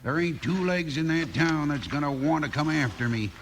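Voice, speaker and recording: An actor playing a ruthless, boastful western gang boss with an American accent in a 1950s radio drama, with a swaggering, bullying manner. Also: male voice, on the high side, moderate pace, lightly acted. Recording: noisy old radio recording, long reverb.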